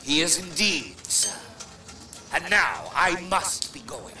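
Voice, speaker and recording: An actor in an audio drama playing a small, ugly, menacing villain who speaks in a curt, coldly formal and hostile way. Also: male voice, fairly high pitch, sing-song, slow pace, bright, forceful tone, distant mic, faint music behind, exaggerated voice. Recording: echoey, set back from mic.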